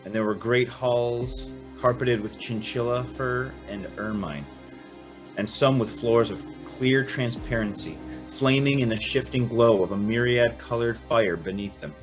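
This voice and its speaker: A man's voice: mid-range voice, measured pace, music in the background, natural voice. An actor playing an earnest, awestruck young man who also narrates an old-time radio drama. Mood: wondering narration. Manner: hesitant, stammering in dialogue.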